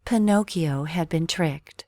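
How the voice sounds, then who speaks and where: A woman's voice: low voice, slow, mellow, dry recording, clean studio sound. A woman reading a scripted children's story as a storyteller.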